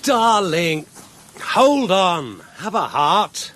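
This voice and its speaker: A man's voice: high voice, very slow, heavily acted. An actor playing a wry, cautious, complaining husband in his mid-thirties in a radio drama. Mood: grumbling, wry. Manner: dry, complaining, animated.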